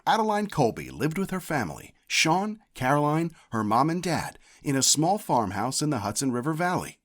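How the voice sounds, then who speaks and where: A man reading a children's picture book aloud as a storyteller, with a deliberate, expressive delivery. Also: fairly high pitch. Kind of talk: scripted read-aloud narration.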